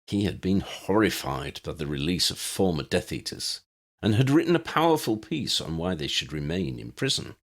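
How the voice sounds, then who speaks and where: A man narrates a fiction audiobook in a low voice with a creaky edge. His intonation is lively and varied as he reads the scripted prose.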